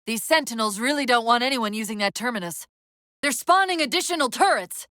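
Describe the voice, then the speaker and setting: Casting: female game AI companion, high and very animated, tactical, witty, strained. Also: brisk, dry recording. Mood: focused, briefing. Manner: crisp tactical briefings with dry sarcasm. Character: female military AI companion, urgent, witty, fraying under strain. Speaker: voice actress in a video game. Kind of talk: dramatic game voice acting.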